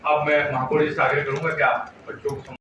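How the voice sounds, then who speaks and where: A man's voice: on the high side, little pitch movement, quick pace. A man acting as event compère, announcing and addressing the audience from the stage. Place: indoor event hall. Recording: very echoey hall, distant dull mic, noise.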